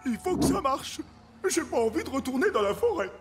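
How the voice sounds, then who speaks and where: A woman voicing a simple, loyal, food-loving male wild boar in an animated comedy, goofy and earnest. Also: high voice, brisk. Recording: cartoon music behind the voice.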